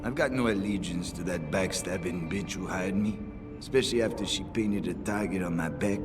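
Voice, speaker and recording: A man's voice: sits low, moderate pace, natural voice. An actor playing a cocky, nervous male hacker who talks in slang in a video game cutscene. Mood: flippant. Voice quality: slangy, mocking. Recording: distant voice over loud music.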